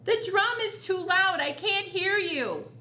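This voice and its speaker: A woman voicing a cheerful, hard-of-hearing frog mother in a children's storybook, calling out loudly. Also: extremely high pitch, lively intonation, takes it slowly, pitched above the performer's own voice, full character voice. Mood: oblivious, loud. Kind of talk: picture-book read-aloud for children. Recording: roomy echo, noticeable background noise.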